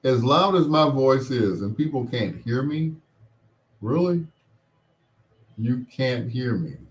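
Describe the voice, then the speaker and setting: A man with an unhurried, measured delivery hosts a casual call-in radio show. His voice sits at a medium male pitch. He sounds wry and exasperated, drawing out his points. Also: lively intonation. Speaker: radio talk show host.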